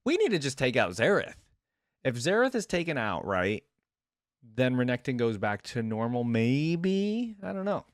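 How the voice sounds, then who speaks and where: A man's voice: mid-range voice, moderate pace, studio quality. A man hosting a reaction video, commenting casually and expressively. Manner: expressive, echoing lines he hears.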